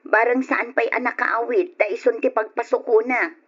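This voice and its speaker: An actress playing a dutiful, nagging working wife in conversational old radio drama style. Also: female voice, a little high, steady tone, moderate pace, fairly bright tone, muffled recording, lightly acted. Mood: exasperated.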